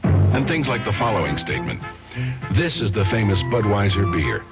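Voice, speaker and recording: A male announcer reading a radio commercial in a smooth, persuasive way. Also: lowish voice, big pitch swings, moderate pace. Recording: muffled old radio ad with loud music.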